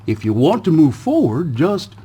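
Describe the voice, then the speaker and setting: A man voicing a wise old tortoise from a storybook, patient and deliberate as he gives soothing advice. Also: a little high, sing-song, warm tone, husky. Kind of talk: storybook character voice.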